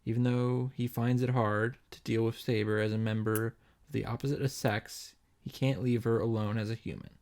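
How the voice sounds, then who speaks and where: A man's voice: mid-range voice, little pitch movement, normal speed, hardly any pauses, a little mellow. A man hosting a story recap, reading the narration dramatically and adding wry commentary of his own. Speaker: host of a visual novel reaction and summary series.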